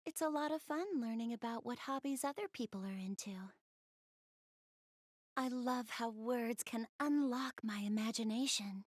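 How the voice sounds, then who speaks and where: Woman voicing a shy, high-voiced young goddess girl. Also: moderate pace, no room sound, studio quality, lightly acted. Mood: enthusiastic, earnest. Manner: hesitant, bashful, polite, rambling about hobbies. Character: shy, bookish young goddess girl, soft-spoken and earnest. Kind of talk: English video game dub, visual novel dialogue.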